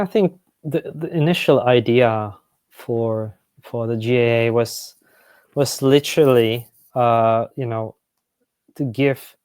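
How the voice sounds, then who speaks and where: A man, a guest in an interview, answering in a halting way with many pauses and uhs. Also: medium pitch, a little mellow, no room sound.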